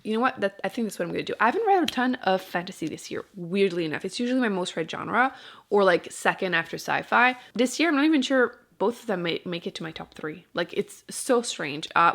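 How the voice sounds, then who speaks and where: A woman with a noticeably creaky, fry-edged voice at a middling pitch. She chats informally as a book vlogger, rambling a little and cutting into her own sentences with dry understatement. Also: a bit bright. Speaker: book vlogger and channel host. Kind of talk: casual chat to camera about books.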